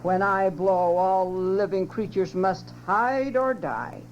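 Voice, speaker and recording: A woman's voice: low voice, steady tone, normal speed, close to an everyday voice. Woman putting on a howling, blustering north wind. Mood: scornful roar. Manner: roaring threats and long swooping howls. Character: blustering, boastful, angry male north wind. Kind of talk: storybook read-aloud. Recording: lo-fi muffled home cassette, loud hum.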